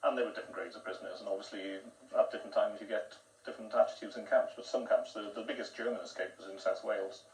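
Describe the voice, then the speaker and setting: Deep, gravelly male historian, brisk and measured, studio sound at a distance. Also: steady tone, a little mellow, reverberant. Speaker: military historian guest. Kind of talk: studio TV discussion.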